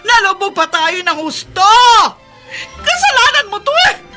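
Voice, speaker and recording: An actress playing a bitter, accusing wife in a melodramatic radio drama, with a Filipino accent. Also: female voice, very high-pitched, big pitch swings, measured pace, pushed and bright, faint music behind, exaggerated voice. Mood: furious, desperate. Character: bitter, furious wife who blames relatives for misfortune.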